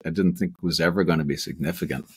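A man's voice: low voice, fairly even intonation, quick pace, darkish tone, husky. A middle-aged man, an actor, telling rambling stories over a video call in a small room at home. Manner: rambling storyteller, many restarts and asides. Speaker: actor and guest. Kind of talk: casual interview chat. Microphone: webcam on video call.

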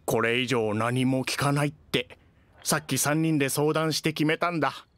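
A man voicing a mild, friendly young man in an anime game, with gentle boyish wording. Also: mid-range voice, fairly even intonation, moderate pace, a little hiss or hum, natural voice. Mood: sincere. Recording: faint music behind.

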